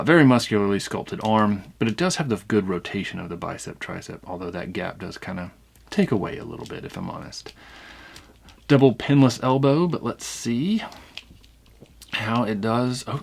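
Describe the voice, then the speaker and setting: A man's voice: on the low side, vocal fry, slightly rough. A man hosting a casual unboxing review, talking conversationally and thinking aloud as he examines things. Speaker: toy review channel host.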